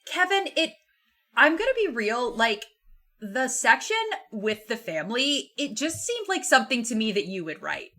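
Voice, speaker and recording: Female podcast host, bright clear-cut tone, informal book conversation. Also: a little high, very animated, normal speed. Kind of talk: casual book discussion.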